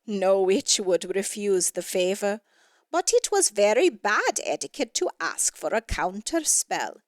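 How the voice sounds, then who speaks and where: A woman narrating a scripted fairy tale with expressive, deliberate emphasis. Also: mid-range voice, no room sound, studio quality. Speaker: story narrator.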